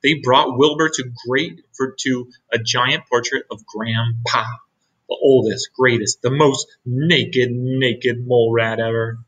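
A middle-aged man giving an expressive read-aloud of a children's storybook. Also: mid-range voice, slow pace, breathy edge, muffled recording. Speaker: storybook reader.

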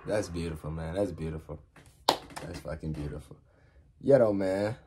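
A young man hosting a music reaction, hyped and slangy, swinging from loud exclamations to mumbled asides in a small bedroom. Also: low voice, normal speed, noisy background. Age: young adult. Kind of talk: excited reaction commentary.

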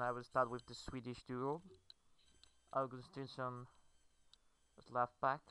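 Clear, bright-voiced Brazilian man. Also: medium pitch. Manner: hesitant, fillers, non-native phrasing. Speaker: gamer. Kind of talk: game commentary.

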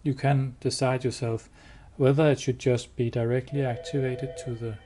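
A man giving a hands-on product demo indoors, explaining step by step in a halting, matter-of-fact way. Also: medium pitch, level tone, dark tone. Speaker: product demonstrator. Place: indoors, showroom with wall-mounted devices. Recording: clear background noise.

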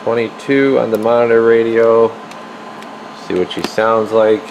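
Male repair technician, very slow casual delivery, muttering as he works, handheld camera, noisy workshop. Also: medium pitch. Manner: informal, muttering to himself as he works. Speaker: radio repair technician, video host. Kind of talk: casual hands-on workbench demonstration. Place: indoor radio workshop at an electronics bench. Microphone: handheld camera, behind the lens. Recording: noisy room with steady equipment hum.

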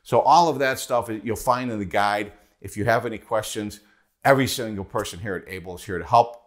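A man in his forties explaining boiler equipment in a practical, conversational way, recorded with a lapel mic in a bright studio. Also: lowish voice, a little fast. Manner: practical, conversational, points and holds up parts. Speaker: HVAC product specialist. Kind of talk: product walkthrough video. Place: bright studio in front of a whiteboard.

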